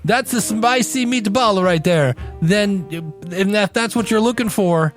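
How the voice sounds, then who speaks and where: A man hosting a podcast in a conversational solo style, speaking emphatically and slowing down for dramatic effect. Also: high voice. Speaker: podcast host. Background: background music.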